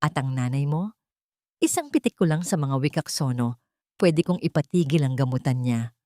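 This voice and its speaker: A woman's voice: sits low, animated, normal speed, warm tone, no room sound, studio quality. A woman voicing a cruel, taunting rich villainess who threatens a housemaid in an audiobook drama. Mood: cold gloating menace. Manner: taunting, menacing.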